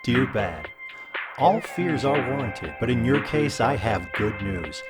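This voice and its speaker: A man playing an all-knowing abyss that gives solemn, deliberate, deadpan advice in a scripted comedy audio drama. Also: medium pitch. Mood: dry, knowing. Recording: loud music bed under voice.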